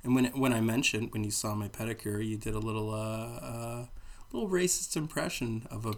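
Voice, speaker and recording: A man co-hosting a podcast in casual chat, loose and profane with deadpan jokes. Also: lowish voice, fairly quick. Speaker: podcast co-host.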